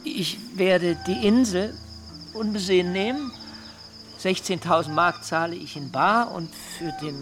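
Dreamy, earnest idealist settler. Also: male voice, high-pitched, measured pace, music in the background. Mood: hesitant, formal. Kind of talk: German radio drama acting.

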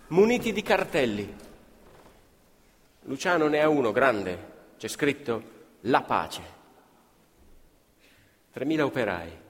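A man's voice: medium pitch, fairly bright tone. A man narrating history theatrically, in emphatic, declamatory short phrases. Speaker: stage actor and storyteller. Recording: reverberant hall.

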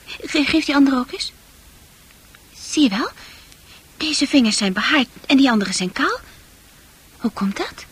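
A woman playing a troubled, unsure teenage girl in a radio play, speaking intimately and hesitantly with a husky, breathy voice that often sinks to a near-whisper. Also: high voice, lively intonation, a little slow, long pauses, fairly bright tone. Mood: timid, curious.

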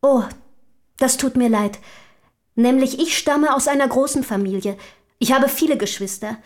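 A high-pitched, self-assured young woman of good family acted by a woman in a radio play. She speaks in eager, formal, carefully worded sentences with a polished, articulate delivery. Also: moderate pace, close to an everyday voice. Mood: chatty, proud.

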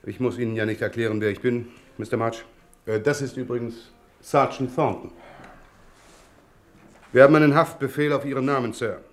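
An actor playing a brisk, formal police inspector in a German radio crime drama, polite but insistent. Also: male voice, medium pitch, fairly even intonation, lightly acted. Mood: firm, official. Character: firm, formal male Scotland Yard police inspector.

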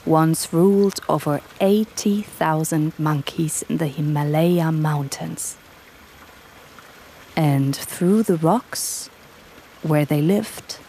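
An actress playing a gentle young woman reading a fable aloud in a radio drama, in an unhurried storytelling manner. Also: sits low, a little hiss or hum, rough recording.

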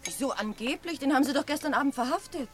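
A woman's voice: high voice, lively intonation, fast. An actress playing a loyal, sensible, protective woman in a German radio drama, speaking matter-of-factly. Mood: questioning. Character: loyal, sensible woman defending the bar owner.

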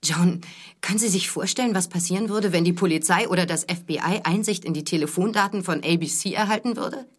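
A woman's voice: fairly low pitch, a little fast, close to an everyday voice. An actress playing a combative female radio host in a radio drama, articulate, pointed and challenging. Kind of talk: radio drama acting as interview guest.

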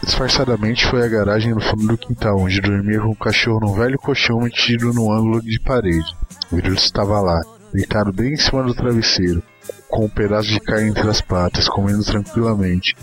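A man's voice: on the low side, steady tone, a little fast, a bit bright. Brazilian man narrating a story over music. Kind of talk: dramatic reading of a short story.

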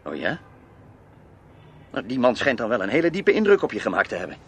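A man's voice: medium pitch, huge pitch swings, brisk, fairly bright tone. An actor playing a self-assured, probing man in a radio play, dry and pointed in his questions. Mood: suspicious, probing. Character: Tim Valentine, probing, self-assured male acquaintance. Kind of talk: Dutch radio play acting. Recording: noisy old radio play recording.

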